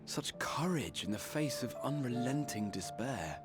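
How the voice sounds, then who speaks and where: Casting: male actor as weary noble game hero, mid-pitched, very expressive range, eloquent, music beneath. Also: lightly acted. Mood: wistful, hopeful. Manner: formal, eloquent, measured storytelling. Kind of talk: dramatic video game voice acting.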